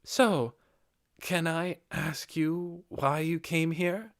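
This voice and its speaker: A man voicing a cynical, composed young female lizardfolk chief in an audiobook, speaking politely and in a measured, slightly wry way. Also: a little high, fairly bright tone, no echo, clean studio sound, voice pushed up.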